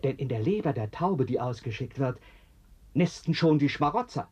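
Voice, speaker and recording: An actor playing a brooding, probing octopus in a German radio play, with solemn, insistent theatrical delivery. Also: male voice, medium pitch, animated, moderate pace, darkish tone, slightly rough. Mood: insistent, preaching. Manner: theatrical, solemn, insistent, dropping to near whispers. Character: brooding octopus with eight arms, self-confessed freak, probing interrogator. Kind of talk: German radio play acting, literary dialogue. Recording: dull, muffled radio drama recording.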